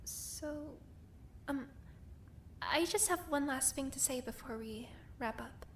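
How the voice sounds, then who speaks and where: A woman's voice: high voice, slow pace, slight background noise. A woman playing a peppy young meeting leader. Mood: upbeat. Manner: peppy, organized, a little nervous. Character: upbeat young woman leading a group meeting. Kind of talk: fan audio drama acting.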